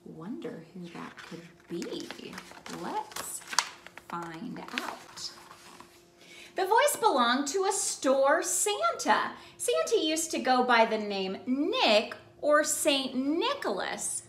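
A woman in her thirties doing an expressive children's picture-book read-aloud, addressing young listeners in an exaggerated way. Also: high voice, slow pace, background noise. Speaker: children's ministry storyteller and host. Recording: roomy indoor echo.

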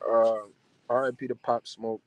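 A man's voice: medium pitch, little pitch movement, measured pace, no echo. A young man in his twenties from New Jersey, a podcast guest chatting casually over a video call from his car, with slangy talk and lots of fillers. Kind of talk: casual chat. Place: car interior. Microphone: phone camera at arm's length on video call.

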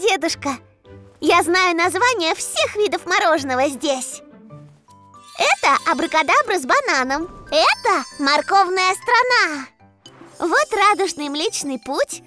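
A woman's voice: very high-pitched, big pitch swings, unhurried, sharp tone, soft background music, heavily acted. A woman voicing a bubbly, eager five-year-old girl in a children's cartoon, cute and enthusiastic. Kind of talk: children's animation dub.

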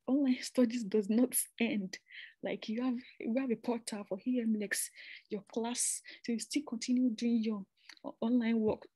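A young woman from Nigeria talks through her slides like she's chatting with a friend, restarting sentences and tossing in asides. Her voice sits a little high, and the recording is close and dry, with no room sound. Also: warm tone, breathy edge, dry recording. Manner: chatty, repeats and restarts phrases, conversational asides. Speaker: presenter explaining a master's program. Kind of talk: informal slide presentation.

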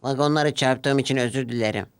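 A man's voice: mid-range voice, steady tone, fairly quick, clear, resonant tone, no room sound, lightly acted. A man voicing a timid, remorseful little male steam locomotive in a children's cartoon. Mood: apologetic. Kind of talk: children's cartoon character dialogue.

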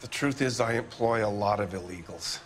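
An American actor playing a defensive, evasive middle-aged factory owner in a video game, with an indignant, blustering manner. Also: male voice, on the low side, normal speed, soft background music, close to an everyday voice.

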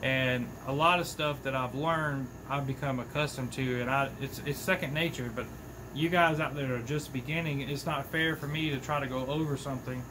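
A man's voice: medium pitch, normal speed, noisy background. A man in his thirties hosting a fishing channel, giving casual, folksy tips to the camera from a small workshop. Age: 30s. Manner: chatty, folksy, animated with hand gestures. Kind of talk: casual talking-head tips.

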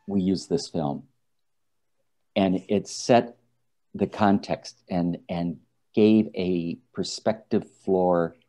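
A man speaking as an advocacy director on an online panel, halting and hesitant with restarts, on a video call. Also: sits low, dark tone.

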